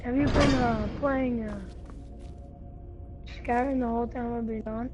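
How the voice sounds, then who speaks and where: A woman's voice: on the high side, fairly even intonation, unhurried. A young woman chatting casually with a friend while gaming. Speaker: gamer streaming with a friend. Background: game music.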